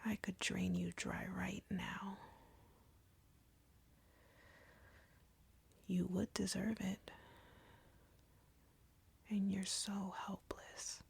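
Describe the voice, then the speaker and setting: Woman voicing a breathy, whispering, arrogant vampire woman. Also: medium pitch, level tone, heavily acted. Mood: menacing, taunting. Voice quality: breathy, husky near-whisper with creak. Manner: slow, taunting, condescending, teasing. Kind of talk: scripted roleplay audio, intimate ASMR-like delivery. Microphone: close, dry microphone.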